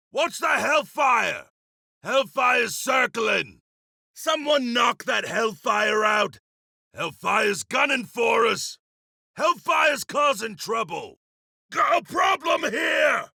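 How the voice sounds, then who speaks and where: An actor playing a seasoned, courteous frontier gunslinger in a video game, with a gravelly, raspy voice and a folksy drawling manner. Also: male voice, high-pitched, pushed and bright, no echo, studio quality, exaggerated voice. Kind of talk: video game character voice lines.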